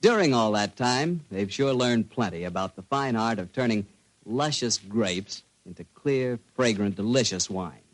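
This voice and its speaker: A man's voice: fairly low pitch, animated, a little slow. A man with an American accent, hosting and reading sponsor messages as a polished, folksy 1940s radio announcer.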